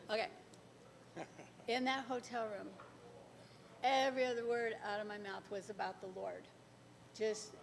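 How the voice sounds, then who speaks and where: A middle-aged woman from the congregation telling a personal faith story to a church group as a lively storyteller. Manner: lively storyteller, laughs between lines. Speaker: congregation member sharing testimony. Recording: far off in a large echoing hall, faint rumble.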